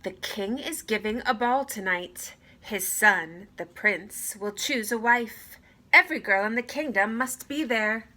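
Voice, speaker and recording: A woman's voice: on the high side, a little slow, pushed and bright. A woman voicing a commanding, falsely sweet stepmother in a children's storybook, giving imperious orders. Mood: announcing.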